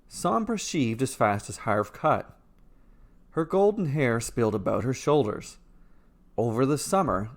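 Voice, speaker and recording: A man's voice: mid-range voice, gravelly. A man reading a scripted fairy tale as its storyteller and narrator. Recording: music playing behind the voice. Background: instrumental music.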